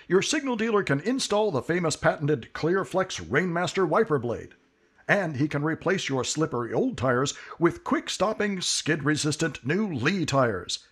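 A man announcing a 1950s-style radio commercial in a brisk, upbeat read. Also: on the high side, slightly rough.

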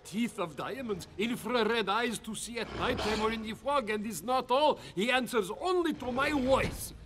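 A man's voice: very high-pitched, moderate pace, noisy background. A man playing a vain, stingy cartoon villain with a theatrical, grandiose manner. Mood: gloating. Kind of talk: animated cartoon acting.

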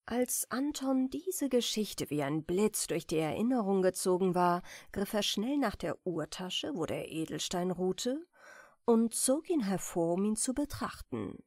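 A woman narrating a fairy tale as a bedtime audiobook, reading softly and soothingly with deliberate care. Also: mid-range voice, lively intonation, dry recording, clean studio sound. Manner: soft, soothing, deliberate storytelling.

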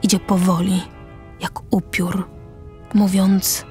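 A woman narrates a scripted radio play over music. Her mid-pitched voice moves slowly through short, measured phrases of stage direction. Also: little pitch movement, a little mellow. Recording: music behind the voice.

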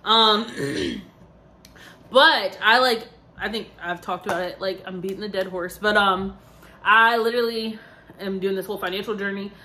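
A woman's voice: medium pitch, normal speed, bright, forceful tone, slight background noise. A young woman, tired, chatting casually to her vlog audience with lots of fillers and rambling asides. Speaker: vlogger. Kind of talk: casual chat to camera.

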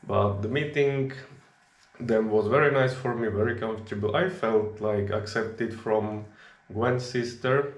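A young man from the Czech Republic, a native Czech speaker, chatting in relaxed non-native English as a vlogger, recorded on a camera at arm's length in a small kitchen. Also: fairly low pitch, unhurried, roomy sound. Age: young adult. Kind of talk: casual chat.

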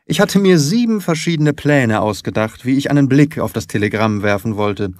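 A man voicing a brisk, analytical detective in an audiobook, articulate and emphatic, with deliberate phrasing. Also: mid-range voice, expressive, no echo, natural voice. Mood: pleased. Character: brisk, confident, analytical male detective, theatrical and keen. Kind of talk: audiobook character dialogue.

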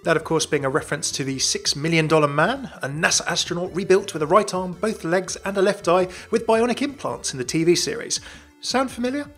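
Higher-voiced young man narrating over music. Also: lively intonation, fairly quick, a bit bright. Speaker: video host and narrator. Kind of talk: scripted fan video narration.

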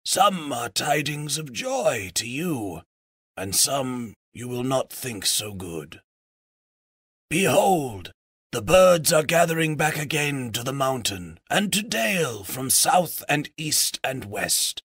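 A man voicing a decrepit, wise old raven chief in a storybook, croaking out solemn, formal counsel. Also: mid-range voice, big pitch swings, fairly bright tone, no echo, clean studio sound, voice pushed up, heavily acted. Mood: solemn, feeble. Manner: slow, formal, archaic counsel.

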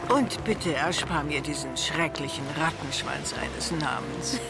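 A woman's voice: low-pitched, lively intonation, a little slow. An actress playing a mature, seductive Italian noblewoman in a radio play, haughty, sly and condescendingly sweet, drawling theatrically. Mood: gracious, amused. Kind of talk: German radio play acting. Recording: studio radio play with music underneath.

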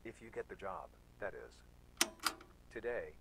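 A man voicing a cold, formal male examiner in a horror game, speaking in even, clipped phrases. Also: fairly low pitch, unhurried, gravelly, very noisy, full character voice.

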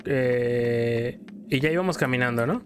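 A man's voice: mid-range voice, a little slow, faint music behind. A man with a Mexican accent telling a story in casual, colloquial style while commenting as a gameplay host. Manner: colloquial, acts out quotes in passing.

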